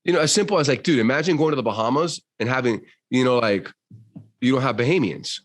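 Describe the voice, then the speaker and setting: A man with a Cuban American accent speaks as a podcast guest in casual conversation. His voice has an ordinary mid pitch. He talks in an emphatic, motivational way and calls people dude. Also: animated, quick pace.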